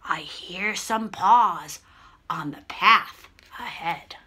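A big, hungry, gullible storybook monster, acted out by a woman, speaking very slowly. Each word is stretched and deliberate. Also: fairly high pitch, sing-song, pitched below the performer's own voice, full character voice. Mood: hushed, wary. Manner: drawn-out, deliberate words, sometimes hushed. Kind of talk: children's storybook read-aloud.

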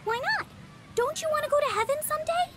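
A woman's voice: extremely high pitch, quick pace, very noisy. A woman playing an earnest, insistent six-year-old girl in an audio drama, pleading and inquisitive. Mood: eager, insistent.

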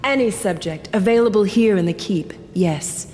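A woman's voice: mid-range voice, moderate pace, warm tone, lightly acted. An actress playing a kindly, scholarly lady mentor in a fantasy audio drama, speaking formally and graciously. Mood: approving, measured. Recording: long hall reverb, music behind.

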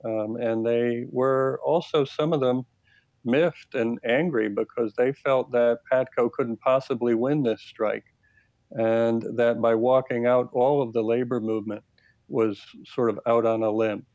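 An American man in his fifties giving measured, deliberate explanations as an expert interview guest over a video call headset. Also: mid-range voice, steady tone, a little mellow, dry recording. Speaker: expert guest, labor history professor. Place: plain room indoors. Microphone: earbud headset on video call. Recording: muffled, lo-fi video call audio.

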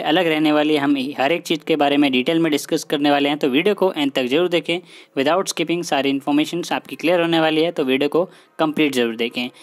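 A man hosting an informal explainer, speaking directly to viewers, repeating himself and mixing in English words. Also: fairly high pitch. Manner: repetitive, mixes English words into his talk. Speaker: host of an exam news channel.

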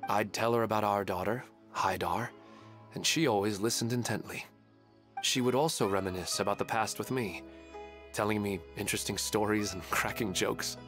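An actor playing a gentle, wistful husband and father in a video game, speaking earnestly and reflectively. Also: on the low side, normal speed, faint music behind.

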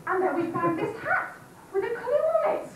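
A woman's voice: very high-pitched, big pitch swings, moderate pace, a bit bright, exaggerated voice. A woman acting a member of a treasure-hunting party in an amateur stage pantomime, recorded from far off in a hall. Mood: excited, declaiming. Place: stage in a village hall, audience present. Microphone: far camera. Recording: distant camcorder in echoey hall, faint noise.

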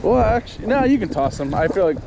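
A man's voice: sits high, very animated, fast, creaky. A man narrating his own fishing in a casual chat, recorded outdoors on a body-worn action camera on a pier. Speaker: fishing vlogger. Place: outdoors on a windy wooden fishing pier over water. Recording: loud wind and water noise on action camera.

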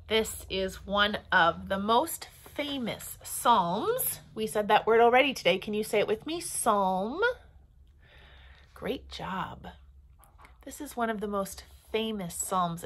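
Slow, animated woman telling children stories. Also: mid-range voice. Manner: animated, simple phrasing with deliberate pauses for children. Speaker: pastor hosting children's story time. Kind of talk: storytelling and talking to young children. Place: small room at home or office, indoors.

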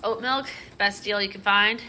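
Bright-voiced young American woman vlogger. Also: mid-range voice, steady tone, moderate pace, a little hiss or hum. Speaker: vlogger and mother showing a grocery haul. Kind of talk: casual chatty haul narration. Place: home kitchen, indoors. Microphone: handheld phone behind the camera.

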